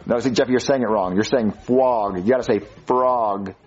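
A man's voice: mid-range voice, rapid-fire, a little mellow, muffled recording, natural voice. A man playing an exasperated father in a comedy skit, flustered and stammering as he pleads with and tutors his child. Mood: instructing.

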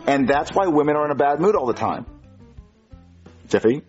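A man's voice: a little high, expressive, normal speed, muffled recording, music in the background, close to an everyday voice. A man doing a flustered, exasperated dad. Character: exasperated father puppet, easily flustered.

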